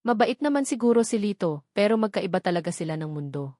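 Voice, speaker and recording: A woman's voice: mid-range voice, lively intonation, quick pace, mellow, dry recording, studio quality. A woman reading a scripted romance story as its narrator.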